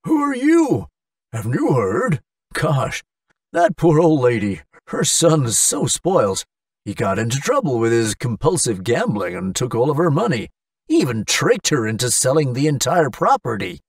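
A man's voice: mid-range voice, sing-song, moderate pace, dry recording, recorded from a distance. A gossipy village man in a cartoon, voiced by a man, disapproving yet pitying. He is exclamatory, with wildly swinging intonation in a dry recording. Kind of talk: English-language cartoon acting.

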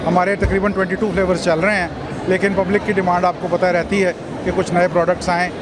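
Pakistani man, high voice, very noisy crowd. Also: level tone, fast. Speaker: brand representative. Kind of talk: interview answers, promotional. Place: busy indoor expo stand. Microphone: handheld reporter microphone. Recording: very loud crowded hall noise.

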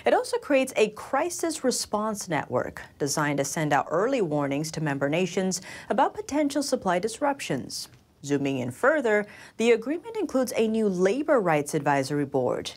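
A young woman reads the news in a television studio, speaking in a crisp, measured anchor's style. Her voice sits on the low side yet moves through a wide, animated range. She is picked up cleanly on a lapel mic. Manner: crisp, measured newsreader delivery. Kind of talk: scripted news reading.

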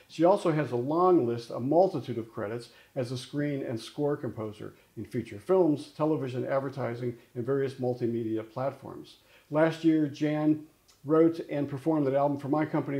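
A man's voice: mid-range voice, warm tone. A middle-aged man hosting a studio interview, with a polished, scripted introduction. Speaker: host. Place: indoor studio with framed awards.

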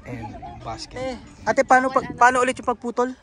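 A man's voice: very high voice, level tone, a little fast, bright, forceful tone, some chatter behind. A man giving a casual walking tour of an outdoor strawberry farm, chatting and asking the staff questions. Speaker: travel vlogger. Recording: outdoor noise behind.

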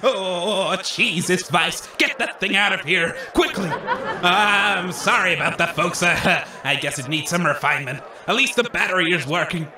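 A man's voice: sits high, lively intonation, normal speed, crowd noise. A man acting as a brash wasteland weapons salesman, theatrical and grandiose, in a comedy radio drama. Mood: flustered. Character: brash male wasteland arms salesman showman. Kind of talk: comedy radio drama, stage announcer patter. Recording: echoey, slightly distant from the mic.

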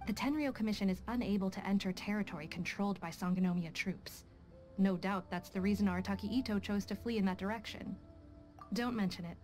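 A woman's voice: mid-range voice, a little mellow, faint music behind, lightly acted. Woman voicing composed, businesslike young detective. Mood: brisk, businesslike. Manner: composed, measured, matter-of-fact. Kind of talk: video game character dialogue.

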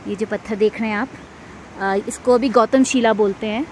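A woman's voice: a little high, steady tone, normal speed. A young woman from India vlogging a road trip, chatting casually and slipping English words into her Hindi. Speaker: travel vlogger. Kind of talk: casual travel vlog narration. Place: outdoors in the mountains and markets. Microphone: handheld phone camera at arm's length. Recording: noisy outdoor phone recording.

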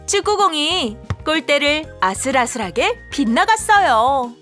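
A woman narrating a scripted storybook for young children in an animated, expressive manner. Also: sits high, unhurried. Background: children's background music.

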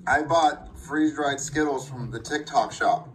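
A young man vlogging on a phone held at arm's length in a bedroom, blunt and excitable, swearing casually. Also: mid-range voice, a little slow, slight background noise, rough recording. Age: young adult. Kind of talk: casual handheld vlog. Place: indoor bedroom.

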